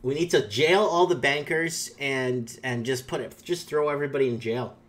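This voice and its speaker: A man's voice: medium pitch, normal speed, fairly bright tone. A man whose first language is Portuguese, hosting his own channel and rambling through opinionated commentary on viewer comments, with many fillers and restarts. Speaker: YouTube channel host.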